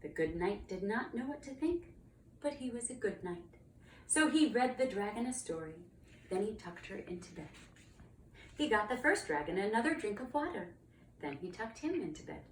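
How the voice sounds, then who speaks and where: Expressive woman reading a children's storybook. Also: medium pitch, normal speed. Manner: dramatic, emphatic storytelling. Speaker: storybook reader, cantor. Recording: roomy, distant mic, faint noise.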